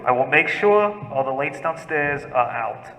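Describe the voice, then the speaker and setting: A man's voice: mid-range voice, expressive, unhurried, pushed and bright. Man acting a cocky hitman in a reverberant hall. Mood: businesslike. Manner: curt, matter-of-fact, reassuring his accomplice. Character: cold-blooded male hired killer, cocky and businesslike. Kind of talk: old-time radio thriller acting. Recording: echoey hall with long reverb.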